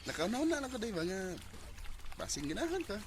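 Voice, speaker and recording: Through a noisy track comes a frustrated Cebuano husband in a radio play. His voice sits a little high and moves animatedly, with halting, hesitant phrasing. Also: sing-song. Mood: coaxing. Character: frustrated, hesitant husband tempted by another woman. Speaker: actor in a radio drama. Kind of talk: Bisaya radio drama acting.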